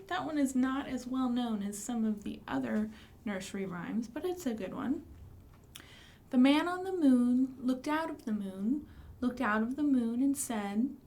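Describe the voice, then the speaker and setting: A woman's voice: high voice, darkish tone. A woman hosting a storytime for young children, reciting rhymes and presenting books with simple questions and prompts.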